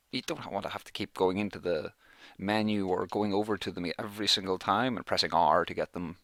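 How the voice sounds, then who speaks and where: A man records a casual let's play, thinking aloud as he goes. His voice is fairly low and bright, with a crisp forward edge. The sound is close and dry, with little room in it. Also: dry recording. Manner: chatty, thinks aloud while playing. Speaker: gaming YouTuber, let's play host.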